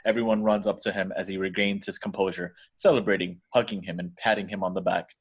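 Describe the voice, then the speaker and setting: A man's voice: low voice, steady tone, quick pace, breathy edge. A man reading out the action descriptions as scripted narration in a table read.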